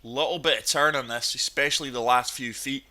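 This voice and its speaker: A man's voice: medium pitch, steady tone, fairly quick, bright, forceful tone. A man with a cold, chatting enthusiastically through golf gameplay commentary. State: has a cold, sniffly. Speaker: gaming channel host.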